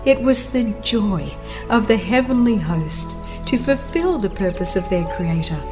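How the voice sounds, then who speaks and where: A woman narrating a religious book as a dramatized reading, solemn and reverent, with measured, dramatic emphasis. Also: mid-range voice, expressive, over music. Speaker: audiobook narrator.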